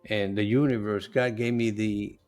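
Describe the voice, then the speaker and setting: A man's voice: on the low side, fairly even intonation, normal speed, darkish tone, soft background music. Give me a middle-aged man, a native Spanish speaker, explaining earnestly in a loose interview style. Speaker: podcast guest, nutritionist and health coach. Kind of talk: conversational interview.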